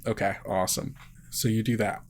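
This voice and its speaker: A game master narrating a tabletop game casually, with a breathy voice. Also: medium pitch, flat delivery, brisk, a little hiss or hum.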